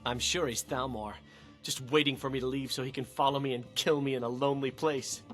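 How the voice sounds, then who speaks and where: A man's voice: mid-range voice, fairly even intonation, a little fast, a bit bright, faint music behind, natural voice. An actor playing a nervous, paranoid townsman in a fantasy game, anxious and suspicious. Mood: fearful, suspicious.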